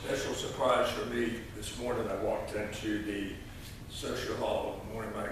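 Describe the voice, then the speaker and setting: A man in his sixties speaking at a church lectern as a lay reader, in a folksy, halting way with frequent pauses. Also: on the low side, level tone. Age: 60s. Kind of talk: informal church address and scripture reading. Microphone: distant camera microphone. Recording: echoey church, distant mic, noisy.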